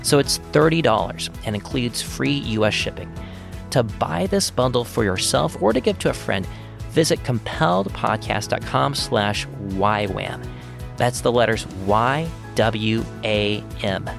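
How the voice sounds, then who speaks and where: A man's voice: mid-range voice, animated, measured pace, vocal fry. A man reading scripted sponsor spots as a podcast host. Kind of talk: scripted ad narration. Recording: music under the voice. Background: guitar music.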